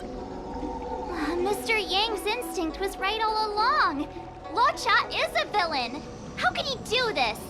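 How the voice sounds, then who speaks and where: A woman voicing an earnest, excitable girl with a righteous temper in a video game, forthright and dramatic. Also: very high-pitched, slow, full character voice. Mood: indignant. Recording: loud music under the voice.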